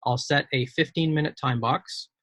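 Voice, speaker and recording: A man's voice: on the high side, steady tone, normal speed, a bit bright, no echo, clean studio sound. A man running an online meeting, explaining the next steps in a methodical, thinking-aloud way over a video call. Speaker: meeting facilitator. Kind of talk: casual online meeting talk.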